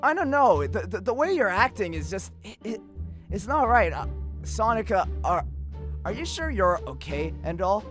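A woman's voice: mid-range voice, sing-song, moderate pace, faint music behind, lightly acted. A woman voicing a nervous young male cartoon hedgehog who stammers and rambles in a comic dub.

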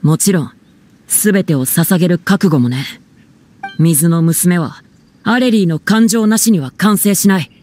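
A man voicing an earnest, resolute young theatre troupe member in an anime game, solemn and deliberate. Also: high-pitched, a little mellow.

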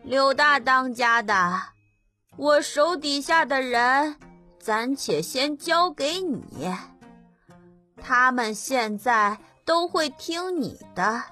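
A man voicing a young boy emperor in an audiobook, commanding, with slow, measured, drawn-out delivery. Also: very high-pitched, expressive, bright, forceful tone, faint music behind, voice pushed up, heavily acted. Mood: calm, commanding.

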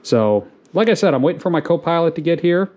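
A man's voice: fairly high pitch, fast talker. Easygoing man with rambling fillers. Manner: relaxed, rambling with many fillers. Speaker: farm channel host. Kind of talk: casual how-to video narration. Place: garage, then outdoors on a farm lawn.

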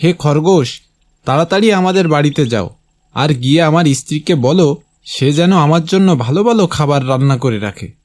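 A man's voice: a little high, lively intonation, moderate pace, darkish tone, close to an everyday voice. A man playing a greedy, grasping village uncle in a cartoon moral tale, brusque and demanding. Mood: bossy.

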